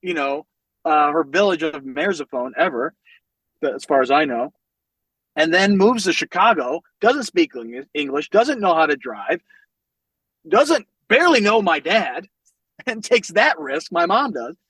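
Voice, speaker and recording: A man in his forties from the American Midwest tells family stories as a podcast guest. His voice sits a bit high for a man and sounds close and dry. He talks in a lively, loose way, swears freely and laughs through his stories. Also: measured pace, breathy edge, dry recording, low-quality recording. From United States, Northwest Indiana. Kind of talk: casual storytelling conversation.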